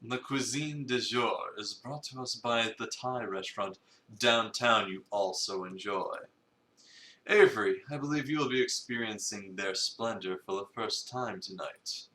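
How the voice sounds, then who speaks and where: A man's voice: fairly low pitch, measured pace, sharp tone. A man playing a grandly theatrical, suave cafe owner in a visual novel reading, with pompous, formal phrasing. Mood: grand, genial. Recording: roomy, echoey sound.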